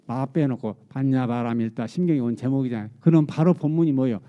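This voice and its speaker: Dark-voiced monk preaching, rhetorical questions. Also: male voice, mid-range voice, dull audio. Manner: lively explaining, rhetorical questions, repeats key words. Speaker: Buddhist monk giving a dharma talk. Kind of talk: sermon. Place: temple dharma hall.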